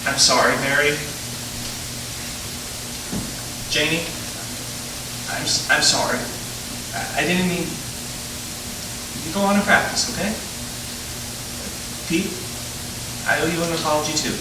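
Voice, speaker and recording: A man's voice: on the high side, a little slow, long pauses, background noise, close to an everyday voice. An actor playing a stressed, short-tempered family father in a 1940s-style radio play. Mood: remorseful. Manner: agitated, swinging between outbursts and softness. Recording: echoey stage, distant mic, music behind.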